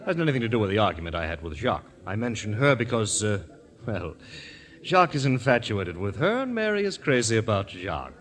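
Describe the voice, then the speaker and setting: An actor playing a polite, talkative, affable but slightly evasive gentleman in a 1940s radio drama, given to careful explanation. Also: lowish voice, sing-song, fairly quick. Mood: evasive, hesitant. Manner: polite, explanatory, hesitant when questioned. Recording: noisy vintage radio recording.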